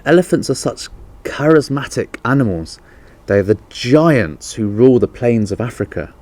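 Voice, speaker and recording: A man's voice: medium pitch, animated, moderate pace, a little mellow, pitched above the performer's own voice, lightly acted. A man reading the part of an earnest, distressed woman in a children's storybook, pleading emphatically. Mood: earnest, admiring.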